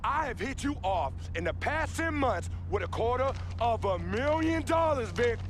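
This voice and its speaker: An actor playing an exasperated young basketball star, emphatic and slangy, in a dramatic video game cutscene. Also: male voice, extremely high pitch, fairly bright tone, slight background noise, heavily acted. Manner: emphatic, scolding, slangy, rhetorical questions.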